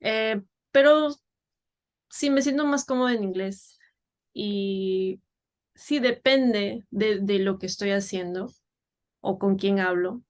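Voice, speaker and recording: A woman's voice: mid-range voice, slow pace, pauses often. A woman from Peru, a children's English teacher, talking in a casual interview with many fillers and restarts. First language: Spanish.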